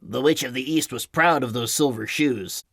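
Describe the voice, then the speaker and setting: A man's voice: medium pitch, normal speed, creaky, a bit bright, no echo, studio quality. A man voicing a small villager in an audiobook, telling plainly what he knows. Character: small male villager, matter-of-fact.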